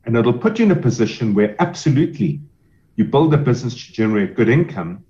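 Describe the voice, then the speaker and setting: A man advising on selling a business, speaking in a measured, explanatory way with emphatic repetition. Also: mid-range voice, big pitch swings, warm tone, lo-fi sound. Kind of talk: podcast interview answers.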